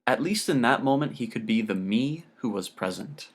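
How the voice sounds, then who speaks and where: A man's voice: medium pitch, animated, moderate pace, husky. A man narrating scripted literary fiction as an audiobook reader.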